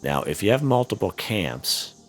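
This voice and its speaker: Brief: hoarse, very low-voiced male gaming host, chatty walkthrough, faint music underneath. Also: big pitch swings, moderate pace. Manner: chatty, frequent fillers and "okay?" check-ins. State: hoarse, losing his voice. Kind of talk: casual gameplay walkthrough commentary.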